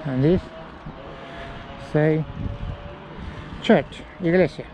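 A man chatting casually while walking through a castle yard outdoors, speaking in short, halting phrases as a non-native speaker. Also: fairly high pitch. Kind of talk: casual walking tour chat. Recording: dull, noisy outdoor street recording.

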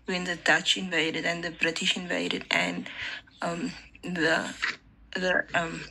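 A woman's voice: on the low side, very animated, takes it slowly, sharp tone, slight background noise, low-quality recording. A woman from South Africa with a South African accent, talking casually as a student in an online group class. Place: online group audio call.

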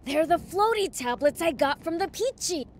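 A woman's voice: extremely high pitch, expressive, moderate pace, slight background noise. A woman voicing a brash, cocky young demon prince in a video game, bossy and informal. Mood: confident. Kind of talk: video game cutscene acting.

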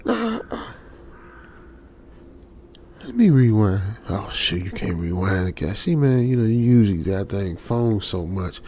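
A man's voice: lowish voice, big pitch swings, normal speed, dark tone. A man with an American accent playing an anxious young trucker, muttering nervously to himself in a radio drama. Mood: puzzled, nervous. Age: young adult. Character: anxious young male trucker stranded alone. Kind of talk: ASMR radio drama monologue. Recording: faint background noise.

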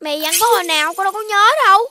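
A woman's voice: very high voice, rapid-fire, bright, forceful tone, no room sound, studio quality, full character voice. A woman voicing an emotional, whiny little boy puppy in a cartoon, with a Southern Vietnamese accent. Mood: defiant. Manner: whiny, pouting. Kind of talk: cartoon dubbing. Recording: music behind the voice.

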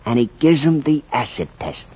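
An actor playing a slangy, folksy baseball fan who narrates theatrically in a 1940s radio drama. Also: male voice, medium pitch, big pitch swings, normal speed, warm tone, slightly rough. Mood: wry, colorful. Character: slangy, colorful storyteller, wisecracking baseball fan. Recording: dull, faintly noisy 1940s radio recording.

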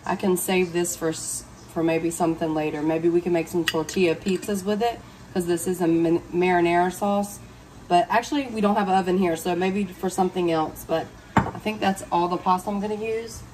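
A woman's voice: lowish voice, steady tone, a little fast, a little mellow. A woman, chatting viewers through a cooking tutorial step by step. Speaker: cooking vlogger. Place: hotel room. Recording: distant mic with clear room noise.

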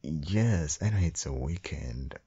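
A man with a gravelly, husky voice doing a soft, intimate boyfriend ASMR roleplay as a loving, doting husband and father. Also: a bass voice, animated, dull audio. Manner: tender, doting, slow, murmured with soft hums.

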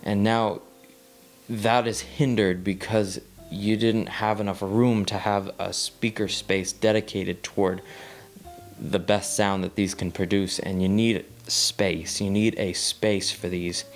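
A man's voice: lowish voice, level tone, takes it slowly, soft background music. A young man seated in a small living room, reviewing audio gear in a casual talking-head video, rambling with frequent ums and repeated words while gesturing with his hands.

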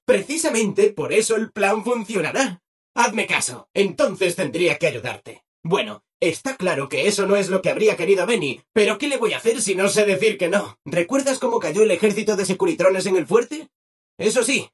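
An actor playing an over-eager, cheerful robot assistant in a video game, with a Castilian Spanish accent and chirpy, mock-polite delivery. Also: male voice, high voice, slightly rough. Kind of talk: scripted video game character acting.

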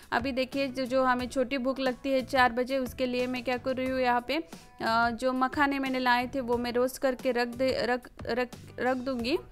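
High-pitched woman narrating with a lilt. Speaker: home cooking vlogger. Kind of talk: casual recipe voice-over narration. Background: background music.

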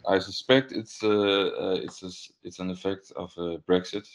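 Very deep-voiced young Danish man. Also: steady tone, moderate pace. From Denmark. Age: young adult. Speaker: musician being interviewed. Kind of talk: casual interview chat. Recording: dull, lo-fi video call.

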